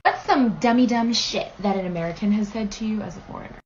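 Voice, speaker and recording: A woman host repeating a question prompt to camera in a flat, steady way. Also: mid-range voice, quick pace. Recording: dull lo-fi audio with faint noise.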